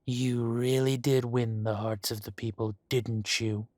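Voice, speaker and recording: A menacing dragon gang boss, voiced by a man for an audio roleplay. He talks softly and condescendingly at a very slow, deliberate pace, sometimes dropping to a whisper. The mid-pitched voice is close-miked and completely dry. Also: level tone, no echo, lightly acted. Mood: silky menace. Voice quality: soft-spoken, silky and condescending, drops to whispers. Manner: slow, deliberate threats with long pauses.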